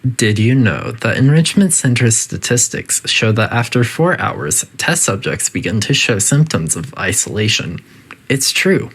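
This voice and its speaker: A man's voice: mid-range voice, very animated, unhurried, fairly bright tone, slightly rough, exaggerated voice. A man voicing a coolly polite, faintly menacing facility AI that gives formal, detached test-chamber announcements in a video game. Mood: clinical, detached.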